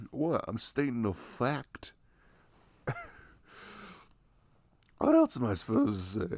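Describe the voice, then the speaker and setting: A man acts out a sweet young boyfriend cuddled up with his partner. His voice is low and gravelly, and he talks softly and unhurriedly in a casual, affectionate ASMR style, close to the mic in a dry space. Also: no room sound, heavily acted. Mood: tender, affectionate. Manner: soft, rambling, frequent chuckles and hums. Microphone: close microphone.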